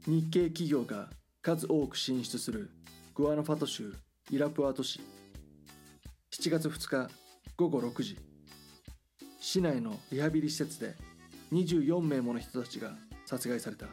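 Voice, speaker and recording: A man reading scripted documentary drama narration in a measured, serious news-report manner. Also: medium pitch, darkish tone, soft background music.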